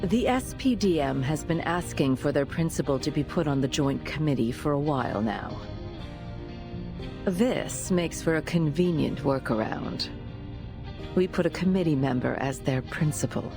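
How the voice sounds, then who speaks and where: A woman's voice: sits low, animated, moderate pace. An actress playing a composed, authoritative female official in a video game, speaking formally and decisively. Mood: assured, businesslike. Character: composed, authoritative senior official, a woman in command. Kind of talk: dramatic video game voice acting. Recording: music under the voice. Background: background music.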